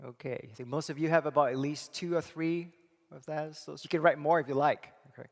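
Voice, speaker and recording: A middle-aged man from Singapore giving a church talk, thoughtful and halting, with many fillers and restarts. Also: on the high side, fairly even intonation, vocal fry. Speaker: church speaker and psychology professor. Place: church hall. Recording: long reverb of a hall.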